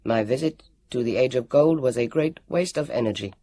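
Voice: medium pitch, moderate pace, mellow, no echo, close to an everyday voice. Narrator doing a stiff, solemn formal man. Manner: stiff and precise, no contractions.

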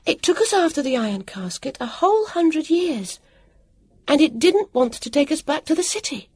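A woman voicing a spirited, sharp-tongued, excitable young girl with urgent delivery in a storybook reading. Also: a little fast, slightly rough. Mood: excited relief. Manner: high, urgent, emphatic.

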